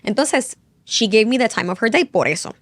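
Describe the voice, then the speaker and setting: A young Puerto Rican woman speaks as a journalist guest in a podcast interview. She tells her stories in a fairly high voice and slips English phrases into her Spanish. The recording is dry and close, with no room echo. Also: lively intonation, normal speed, fairly bright tone. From Puerto Rico. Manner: storytelling, mixes in English phrases. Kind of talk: casual interview conversation.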